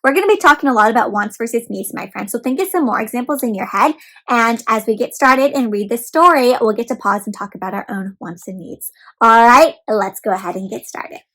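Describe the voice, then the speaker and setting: A woman doing an animated, interactive picture book read-aloud for children, full of questions to the listeners. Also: on the high side, brisk.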